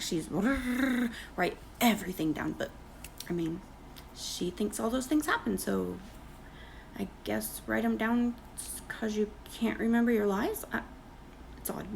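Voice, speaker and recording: A woman talks through someone's posts in a casual, mocking commentary, with sarcastic asides. Her voice is strongly gravelly with constant fry, and she is losing it. A faint noise sits under the recording. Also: mid-range voice, rough recording. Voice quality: creaky, gravelly. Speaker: commentary creator. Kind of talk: casual gossip commentary reading posts aloud.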